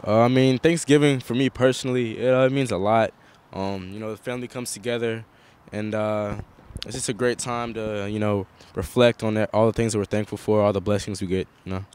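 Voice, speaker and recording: A man's voice: lowish voice, fairly even intonation, fairly quick, few pauses, a little mellow, clear, resonant tone. A man answering as a guest in a casual interview, hedging with lots of "you know" and "um.".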